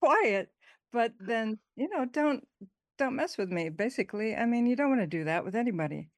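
A middle-aged woman poet talking in an interview, soft-spoken and halting, with frequent fillers and small laughs. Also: fairly high pitch, expressive, no room sound. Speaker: poet and author, interview guest. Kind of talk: casual interview conversation.

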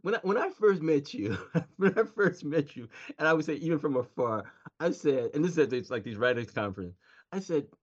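A man hosting a relaxed interview, asking long, rambling questions and laughing easily. The recording sounds dull and lo-fi, with the top end muffled. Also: fairly high pitch, brisk, husky. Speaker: interview host. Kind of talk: casual interview conversation.

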